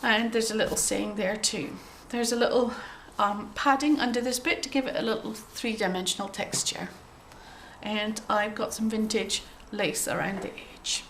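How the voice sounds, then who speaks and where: A woman with a cold, sounding a bit croaky, chatting gently through a show-and-tell of her handmade journal with frequent ums and throat clearing. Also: mid-range voice, steady tone, normal speed, slight background noise. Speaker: craft journal maker showing her work.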